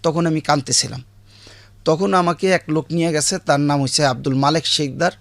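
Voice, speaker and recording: A man's voice: on the high side, steady tone, brisk, creaky, no echo. A man in his late fifties with a Bangladeshi accent, telling his life story as an interview guest in plain, colloquial, repetitive speech.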